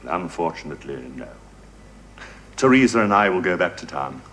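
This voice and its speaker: An actor playing a calculating male villain in a radio drama, smooth and coolly sinister. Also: deep voice, very animated, normal speed, fairly bright tone, slight background noise. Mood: cold. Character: scheming male spymaster, cold and calculating.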